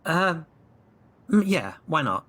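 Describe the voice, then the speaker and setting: A man's voice: on the high side, very animated, slow, fairly bright tone. Hesitant man at a tabletop game. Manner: hesitant, apologetic. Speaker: player. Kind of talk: casual tabletop role-play.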